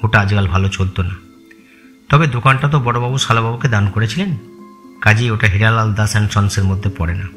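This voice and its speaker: A man's voice: fairly low pitch, brisk, a bit bright, close to an everyday voice. A man acting a loyal, respectful long-time employee in an audio story, giving plain deferential explanations. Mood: explanatory. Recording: music bed behind the voice.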